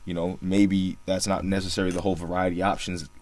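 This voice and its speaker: Deep-voiced young man chatting casually. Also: level tone, fast, darkish tone. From Singapore. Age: young adult. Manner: laid-back, filler-heavy storytelling. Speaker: podcast co-host. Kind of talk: casual podcast chat.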